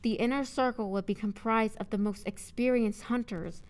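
A woman's voice: a little high, fairly even intonation, normal speed, a little mellow. A woman voice actor reading scripted audio drama narration, with casual chat between takes.

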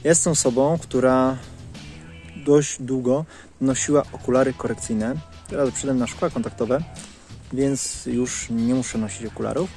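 Medium-low-voiced man reviewing products outdoors. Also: moderate pace, a little mellow, soft background music. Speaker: vlogger giving a product review. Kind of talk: casual monologue to camera. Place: outdoors in leafy woodland. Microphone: clip-on lapel mic.

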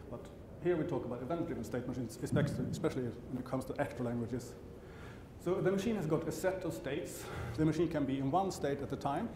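Middle-aged Swedish-accented man, conference speaker, dry and halting, recorded in an echoey hall over a steady hum. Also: mid-range voice. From Sweden. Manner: halting, self-correcting, dry asides. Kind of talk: technical conference talk. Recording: roomy hall sound with background hum.

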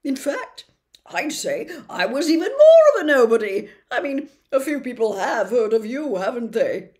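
A smug celebrity gentleman wizard from a storybook, acted out by a woman. He gushes loudly and condescends theatrically, with pitch that swoops high and wide. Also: big pitch swings, moderate pace. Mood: smug, boastful. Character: vain, smug, showy male celebrity wizard professor. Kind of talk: dramatized storybook reading.

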